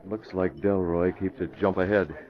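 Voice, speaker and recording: An actor playing an assured newspaperman who is secretly a masked crimefighter, with crisp, commanding delivery in a vintage radio drama. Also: deep voice, fairly even intonation, normal speed, close to an everyday voice. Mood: measured, knowing. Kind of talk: 1940s radio acting. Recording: muffled, noisy old radio recording with clicks.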